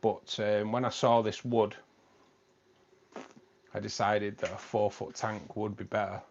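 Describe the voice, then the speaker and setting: Rambling live stream host, man with a lowish voice, muffled lo-fi audio, faint hiss behind. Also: flat delivery, unhurried. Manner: chatty, rambling, keeps repeating himself. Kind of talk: casual live stream chat. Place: home room with fish tanks.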